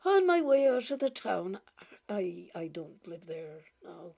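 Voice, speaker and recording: Slow gravelly woman reading a story. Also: fairly low pitch, sing-song. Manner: gentle, halting, repeats words and laughs softly. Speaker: audiobook reader.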